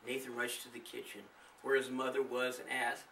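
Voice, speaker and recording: A man's voice: mid-range voice, completely flat delivery, normal speed, gravelly, bright, forceful tone, recorded from a distance, poor audio. A man reading his own story aloud as a scripted storybook narrator. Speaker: author and narrator.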